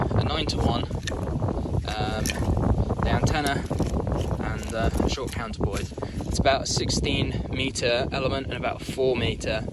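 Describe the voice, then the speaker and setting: A man casually presenting portable radio gear in an informal, practical, slightly hesitant way, recorded outdoors. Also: medium pitch. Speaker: amateur radio operator, video presenter. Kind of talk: casual hands-on gear demonstration and chat. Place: outdoors in open countryside. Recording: windy, noisy outdoor microphone.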